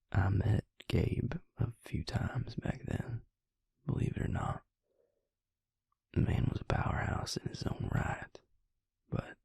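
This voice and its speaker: Man playing a hushed, husky, very slow-talking vampire lover. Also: no room sound, clean studio sound, full character voice. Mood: quietly admiring. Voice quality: breathy, husky, gravelly low murmur. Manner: slow, hesitant, tender, with long pauses. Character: affectionate male vampire mate, laid-back and gentle. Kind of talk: intimate whispered roleplay audio.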